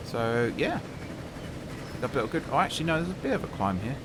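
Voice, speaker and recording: Croaky man narrating gameplay. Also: mid-range voice, very animated, a little fast, noisy background. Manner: chatty, thinking aloud, self-deprecating. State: croaky from talking all day. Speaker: gaming channel host. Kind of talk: casual gameplay commentary. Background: background music.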